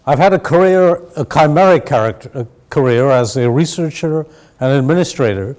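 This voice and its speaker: A man raised in Sri Lanka giving a measured, explanatory university lecture to an audience. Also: a little high, expressive, a little mellow. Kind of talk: academic lecture.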